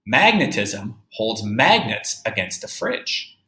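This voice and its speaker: A man's voice: fairly low pitch, very animated, takes it slowly, bright, forceful tone, roomy sound. A man teaching young children in a read-aloud lesson, explaining step by step with emphasis and checking in as he goes. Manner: instructive, emphatic, checking understanding with tag questions. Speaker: third-grade teacher.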